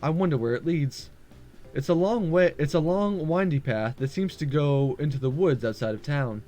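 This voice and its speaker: A man's voice: a little high, moderate pace, warm tone. A man reading a visual novel aloud as a gaming video host, with casual asides and the odd stumble over words. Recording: music behind the voice. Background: game background music.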